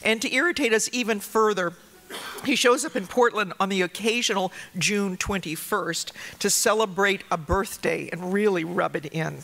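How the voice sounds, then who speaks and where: Woman host introducing a speaker, with a bright, forceful voice and dry, teasing humor, in a room with a faint background hum. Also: medium pitch, normal speed, vocal fry. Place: conference lecture hall.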